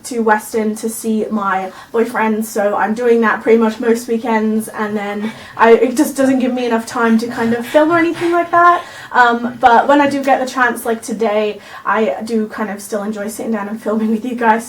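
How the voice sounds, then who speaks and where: A woman's voice: fairly high pitch, recorded from a distance, low-quality recording. A young woman from Wales, with an Australian tinge to her Welsh accent, chatting casually to camera in a rambling vlog. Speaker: YouTube vlogger.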